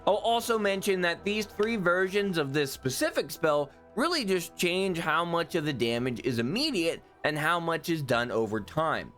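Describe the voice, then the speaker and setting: Young guy doing game commentary, kinda high voice, swears a lot, chill jokes. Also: sing-song, moderate pace, hardly any pauses, a bit bright, faint music behind. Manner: offhand, joking, swears. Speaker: gaming YouTuber.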